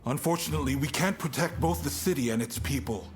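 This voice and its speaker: An actor playing a resolute, burdened samurai commander in a video game cutscene, speaking in a measured, plain-spoken way with weary resolve. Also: male voice, mid-range voice, lightly acted. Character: frank, resolute samurai military commander, burdened but calm. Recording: music behind the voice.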